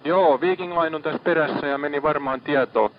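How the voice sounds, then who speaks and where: A man with a fairly high voice speaks as a ship's radio operator. He handles distress traffic procedurally, repeating details back, over a dull, lo-fi marine radio channel.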